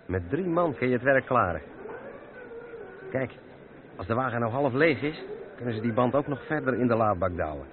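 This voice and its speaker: An actor playing a practical, down-to-earth lorry driver in a Dutch radio drama. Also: male voice, medium pitch, very animated, normal speed, long pauses, crowd noise, lightly acted. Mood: matter-of-fact. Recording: muffled narrow-band old radio play, noisy.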